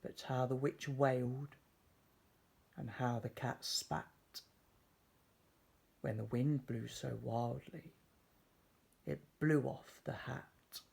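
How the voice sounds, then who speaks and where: A man reading a children's story aloud in a measured, expressive, dramatic way. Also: mid-range voice, creaky, slightly rough. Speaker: storybook reader.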